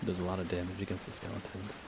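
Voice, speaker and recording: A man doing relaxed ASMR game commentary, casually rambling and thinking aloud as he plays. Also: deep voice, quick pace, heavy background noise. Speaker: livestreamer.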